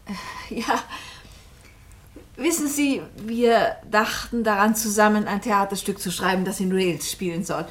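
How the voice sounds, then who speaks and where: An actress playing a polite, tactful woman novelist with a gently hesitant manner in a German radio play. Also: medium pitch, measured pace, lightly acted. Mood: polite, guarded.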